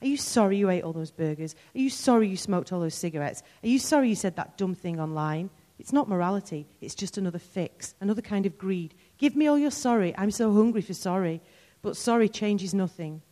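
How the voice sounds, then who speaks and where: A woman's voice: mid-range voice, expressive, fairly quick, dark tone, long reverb tail, close to an everyday voice. A woman reading the lines of a sardonic young woman friend who delivers blunt, wry rants. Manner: wry, sardonic, mock-ranting.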